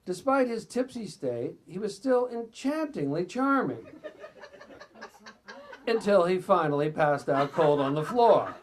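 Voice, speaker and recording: A man's voice: high voice, big pitch swings, measured pace, a little hiss or hum. A man reading excerpts from a memoir aloud at a live reading, sometimes stumbling and stepping out of the text with asides. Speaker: reader at a bookstore event.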